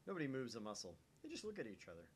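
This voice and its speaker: A man reads visual novel narration aloud for a let's play. His pitch moves around in a lively way. The home recording has faint noise and rumble behind him. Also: racing.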